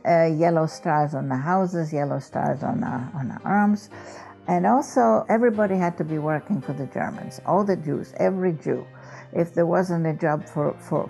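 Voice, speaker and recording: A woman's voice: deep voice, vocal fry, mellow. A woman in her eighties from Poland recounts wartime details earnestly, listing them one after another. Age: 80s. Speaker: Holocaust survivor, interviewee. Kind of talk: testimony interview.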